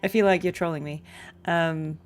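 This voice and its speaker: A woman's voice: lowish voice, steady tone, moderate pace, soft background music. A woman game streamer chatting informally and musing aloud to her live viewers. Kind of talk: casual live stream chat.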